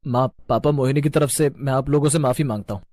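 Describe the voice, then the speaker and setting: A man's voice: mid-range voice, quick pace, darkish tone, dry recording, lightly acted. Man voicing placating, henpecked young husband. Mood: apologetic. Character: young city husband, placating, dutiful but henpecked son.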